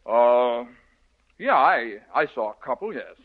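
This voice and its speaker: An actor playing a sly, scheming cavalry colonel who gives hushed, brisk orders, in a 1940s radio comedy. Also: male voice, mid-range voice, sing-song. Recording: thin phone-like old radio recording.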